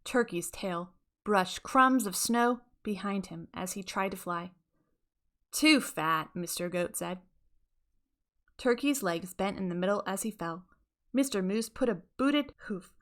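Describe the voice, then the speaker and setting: A woman narrates a storybook for children. Her voice is bright and forward at a middle pitch. She reads expressively, as scripted audiobook narration. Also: unhurried.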